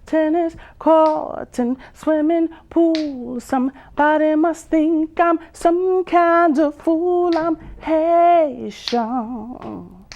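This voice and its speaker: A woman poet and vocalist performing spoken-word poetry with drawn-out phrases, pauses and hums, some lines half sung. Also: high-pitched, darkish tone. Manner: slow, drawn-out delivery with long pauses and hums. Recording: dull lo-fi sound.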